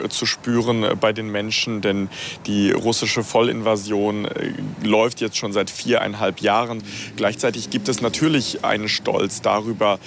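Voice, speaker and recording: A man's voice: lowish voice, a little hiss or hum. A man giving spoken news analysis as a foreign correspondent.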